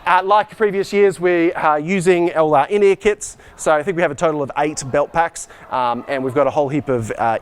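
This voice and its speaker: A man giving an informal technical walk-through in a school hall, explaining things to camera as he shows equipment. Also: a little high. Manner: explanatory, speaking to camera while showing equipment. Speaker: high school department head and tour guide. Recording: clear ambient noise in hall.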